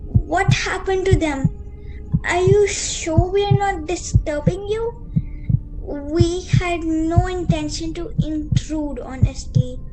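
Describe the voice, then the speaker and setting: A woman acting a frightened, polite young girl in an amateur radio play, halting and nervous. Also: very high-pitched, poor audio.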